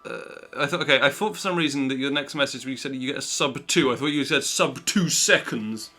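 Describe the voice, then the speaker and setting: Guy streaming, talks fast, bright sharp voice, quick chatty. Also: mid-range voice. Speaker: streamer host. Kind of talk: casual livestream chat.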